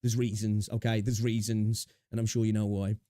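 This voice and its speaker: A man's voice: on the low side, flat delivery, normal speed, dark tone, no room sound, clean studio sound. A young man chatting casually on a live stream, a little sniffly with a sore throat, rambling and greeting viewers. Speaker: streamer reacting to videos.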